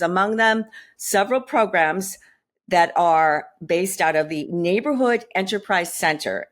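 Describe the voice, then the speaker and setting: Lower-voiced woman hosting a radio interview. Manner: measured, polished delivery. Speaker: radio show host. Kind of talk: scripted introduction and interview questions.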